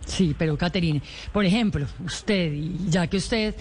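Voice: mid-range voice, unhurried, a little mellow. A journalist interviews a guest in a mid-range voice and lets each question wind on with added detail. The tone stays conversational throughout. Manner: long, winding questions.

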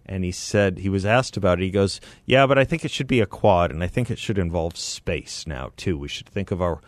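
Brief: male talk radio host, lowish voice, dry close studio mic, rambling conversational delivery. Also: a little fast, no echo. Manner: conversational, self-correcting, reads ads with enthusiasm. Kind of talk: casual radio talk and live ad read. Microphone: studio microphone.

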